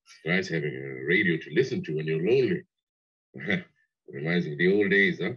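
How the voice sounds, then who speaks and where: An actor playing a polite, needling former chauffeur who dwells wistfully on old days in a 1960s radio drama. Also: male voice, deep voice, animated, fairly quick, breathy edge. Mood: sly, cheery. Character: nostalgic, ingratiating former chauffeur, loyal visitor. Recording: dull old 1960s radio play recording.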